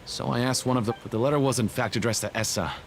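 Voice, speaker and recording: An actor playing a nervous, evasive smuggler, stammering and defensive, in a video game. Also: male voice, mid-range voice, steady tone, fast talker, slight background noise, natural voice. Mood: defensive, confessing. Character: cornered male smuggler, nervous, evasive and self-justifying.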